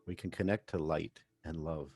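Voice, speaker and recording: A man working as a meditation coach, narrating a guided session in gentle, measured phrases with pauses. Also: a bass voice, fairly even intonation, husky. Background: background music.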